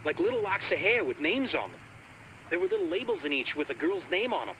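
A man's voice: a little high, very animated, quick pace, fairly bright tone, a little hiss or hum, low-quality recording. A man playing a casual but creepily fascinated cab driver calling a radio show in a video game. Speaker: radio caller.

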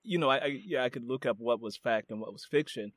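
A man's voice: medium pitch, fast, dry recording. Male podcast host, dry close voice. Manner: brief affirming interjections. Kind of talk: casual interview chat.

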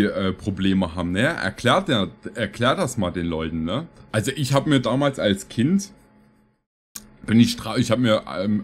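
A man in his thirties with a medium-pitched voice comments casually as a streamer. He rambles and jokes with a tongue-in-cheek tone. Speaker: streamer reacting to videos. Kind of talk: casual joking reaction commentary. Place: indoor streaming room.

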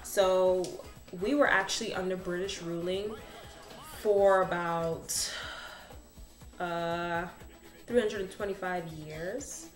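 A woman's voice: on the low side, steady tone, takes it slowly. A young woman chatting animatedly as she explains things to her camera in a small room. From Bahamas. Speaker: vlogger. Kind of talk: casual explainer vlog. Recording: echoey room with faint background music.

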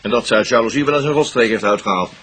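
An actor plays a policeman in a radio drama. His voice sits at a middle pitch, and his delivery is blunt, colloquial and wry. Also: racing, a bit bright, natural voice. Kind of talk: radio play dialogue.

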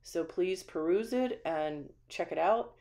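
A woman with a low voice gives a friendly home tour, talking casually and often pausing with ums. She walks through a small empty house, the camera held in hand. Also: animated, dark tone. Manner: friendly, chatty, frequent ums. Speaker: manufactured home community manager. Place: empty small house, indoors, then voice-over.

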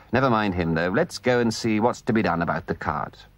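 A man's voice: lowish voice, expressive, normal speed, no room sound, lightly acted. A man voicing a stern, hot-tempered male water rat who speaks firmly and indignantly, as part of a storybook reading. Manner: indignant, firm.

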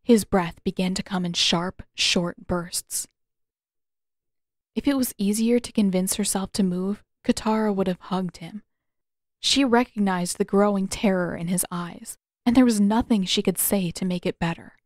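A woman reading scripted fiction aloud as a narrator, with expressive, dramatic delivery. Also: medium pitch, a little slow, dry recording, clean studio sound. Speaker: audiobook narrator of fan fiction.

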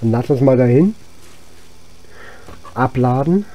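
A man's voice: medium pitch, lively intonation, takes it slowly, lo-fi sound. A man giving casual let's play commentary as host, musing aloud to himself as he goes. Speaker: gaming channel host.

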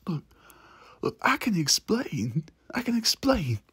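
A man plays a brash young demon with a soft heart in an audio roleplay. His voice is husky and dry, stumbling informally over words at an unhurried pace. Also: high-pitched, sing-song, no echo, pitched above the performer's own voice, heavily acted. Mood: flustered, pleading. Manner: stammering, flustered, informal. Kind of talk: ASMR audio roleplay acting.

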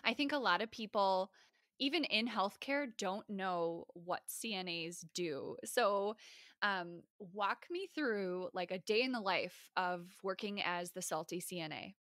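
A woman's voice: medium pitch, unhurried, fairly bright tone, no room sound, studio quality. A woman from the American Midwest hosting a podcast, talking casually with lots of fillers. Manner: chatty, many "like" fillers. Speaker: podcast host. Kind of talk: casual interview chat.

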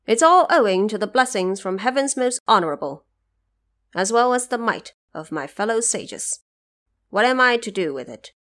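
A woman's voice: mid-range voice, very animated, normal speed, fairly bright tone. A woman voicing a dutiful, commanding male warrior god in an audio drama, formal and authoritative. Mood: modest.

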